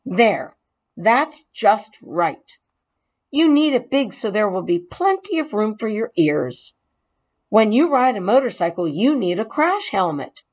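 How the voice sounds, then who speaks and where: A woman's voice: medium pitch, sing-song, a little slow. A woman reading aloud and voicing a young boy in a storybook, eager and at times reproachful. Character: young boy, trusting then hurt.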